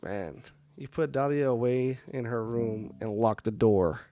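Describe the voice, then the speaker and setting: A man's voice: medium pitch, a little slow, mellow, no room sound. A man reading a game's story aloud on a live stream, with casual, chatty asides to viewers. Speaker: game streamer.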